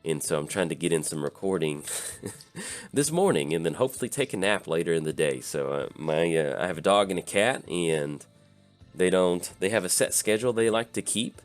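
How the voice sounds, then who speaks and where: A tired man with a slightly raspy voice, hosting and casually commenting on his own card game as he plays, thinking aloud with lots of pauses. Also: deep voice, lively intonation, soft background music. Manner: easygoing, thinks aloud with many filler pauses. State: tired from little sleep. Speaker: channel host.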